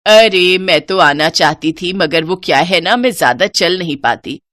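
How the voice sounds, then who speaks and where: A woman's voice: on the low side, lively intonation, a little slow, fairly bright tone, no room sound, studio quality. A woman voicing a kindly, hearty older mother-in-law in a moral story, repeating words as she speaks. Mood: reassuring. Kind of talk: Hindi moral story character acting.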